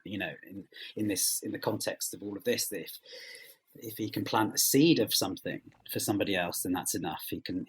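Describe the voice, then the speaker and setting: A man being interviewed as a writer, speaking reflectively and haltingly as he searches for words. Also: on the low side, husky, rough recording.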